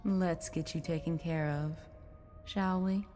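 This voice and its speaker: A woman's voice: on the low side, steady tone, slow, warm tone. A woman playing a cryptic, serene, eerie nurse guide in a horror game, with an enigmatic, formal manner. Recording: long reverb, faint music.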